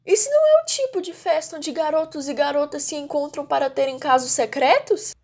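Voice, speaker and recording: A rich, prim teenage girl, easily panicked and jealous as a woman acts her. She has a high-pitched voice and a Brazilian accent. The delivery is theatrical manga dubbing. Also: lively intonation, fairly quick, a little mellow. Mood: panicked, indignant. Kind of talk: dramatic fan dub dialogue.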